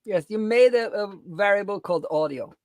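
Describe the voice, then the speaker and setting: A woman's voice: medium pitch, moderate pace, a little mellow. A woman chatting casually as a companion helping out on a coding stream. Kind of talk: casual chat.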